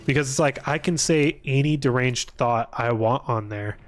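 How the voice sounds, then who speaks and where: A man's voice: medium pitch, moderate pace. A man chatting casually on a livestream, rambling informally and hesitantly, often trailing off mid-thought. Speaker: live streamer. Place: apartment room.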